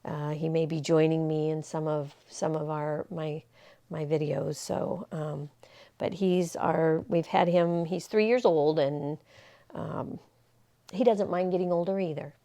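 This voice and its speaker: Woman in her sixties, low voice, gravelly fry, rambling casual vlog talk, lots of ums. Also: fairly even intonation, measured pace, warm tone. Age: 60s. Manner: rambling, many fillers and restarts. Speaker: vlogger. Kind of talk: casual personal talk to camera.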